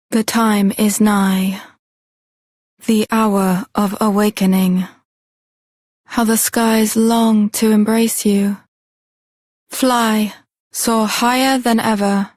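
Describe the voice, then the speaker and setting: A woman's voice: medium pitch, darkish tone, no room sound. A woman playing a solemn, reverent maiden guardian in a fantasy video game, speaking in a formal, ceremonial manner. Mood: reverent, hopeful.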